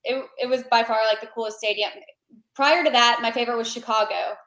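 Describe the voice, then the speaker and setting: A woman's voice: a little high, fairly even intonation, a little fast, fairly bright tone, reverberant, poor audio. A woman guest chatting casually on a podcast, rambling with fillers and restarts.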